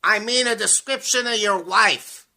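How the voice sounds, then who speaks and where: A man's voice: sits high, unhurried, sharp tone, full character voice. A man playing a matter-of-fact police officer on a telephone in a 1940s-style radio comedy. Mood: businesslike. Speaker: community theatre actor.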